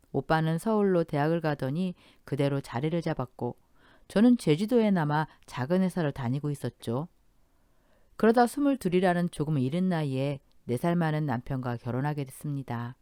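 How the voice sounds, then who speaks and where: A woman narrating a scripted first-person story in a gentle, polite storytelling manner. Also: low voice, animated, normal speed, clear, resonant tone, no room sound, clean studio sound.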